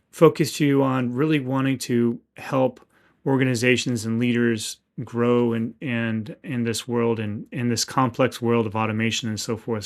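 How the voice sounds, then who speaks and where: A man hosting an interview podcast who asks earnest, long-winded questions and often restarts his sentences. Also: mid-range voice. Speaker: podcast host.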